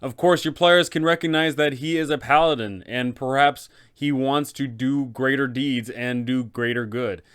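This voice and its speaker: A man's voice: medium pitch, moderate pace, few pauses, fairly bright tone, clear, resonant tone. A man hosting a game master guide, talking in an enthusiastic, casual way. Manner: enthusiastic, upbeat. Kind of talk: casual tutorial narration.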